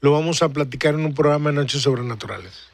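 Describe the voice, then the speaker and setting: A man's voice: fairly high pitch, fast talker, gravelly, noisy background. A man in his forties hosting a radio show, chatting casually in a friendly way, rambling and repeating himself. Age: 40s. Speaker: radio host.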